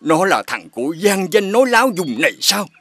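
A man playing a gullible, easily indignant blind village fortune-teller in an animated folk tale. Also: high voice, brisk, exaggerated voice.

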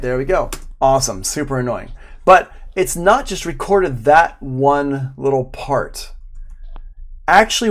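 A middle-aged man giving an informal live online lesson, chatting and thinking aloud as he demonstrates. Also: mid-range voice. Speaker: audio production instructor. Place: indoor room at home, live stream.

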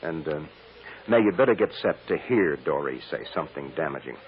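An actor playing a calm, protective defense attorney in a radio drama, measured and firm. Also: male voice, very deep voice. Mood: grave, firm. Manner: measured, deliberate. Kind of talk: 1950s radio drama acting. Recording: dull noisy old radio recording.

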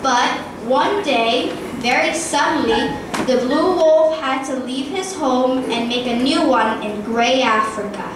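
A woman's voice: high voice, unhurried. A girl of about ten or eleven reading scripted storytelling narration as the narrator of a school play. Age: child, about ten or eleven. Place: theatre hall stage, filmed from audience. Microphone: far camera. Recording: echoey school hall, distant, very noisy.

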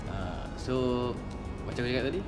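A man's voice: medium pitch, over loud music. A man in his thirties with a Malaysian Malay accent, chatting casually and easily on a motorcycle vlog in an indoor car park, filmed on a close-held action camera. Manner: relaxed, chatty, drawn-out fillers. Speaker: motorcycle vlogger. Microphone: action camera held close to the face.

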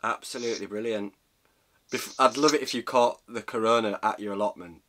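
A man's voice: on the low side, fairly even intonation, brisk, fairly bright tone. A British man in his thirties chatting casually and jokily with a mate, indoors in a small cabin. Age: 30s. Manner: jokey, matey. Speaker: vlogger interviewing a friend by phone. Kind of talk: casual chat, banter.

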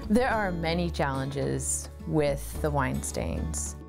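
A woman's voice: low voice, expressive, slow, gravelly, over music. A woman in her thirties, an artist, talking thoughtfully about her work in an interview, recorded on a lapel mic in her cluttered home studio. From United States.

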